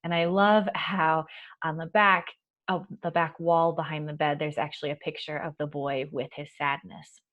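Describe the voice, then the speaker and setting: A woman hosting a children's storytime, reading and explaining in a soft-spoken, reassuring, measured way. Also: sits low, little pitch movement, lo-fi sound. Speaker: children's library storytime host. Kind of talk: gentle picture-book reading and explaining to children.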